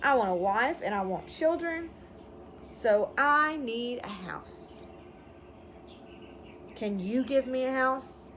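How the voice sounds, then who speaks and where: A woman's voice: fairly high pitch, animated, slow, long pauses, fairly bright tone, a little hiss or hum. A woman voicing a needy boy grown into a weary old man in a storybook, blunt, tired and demanding.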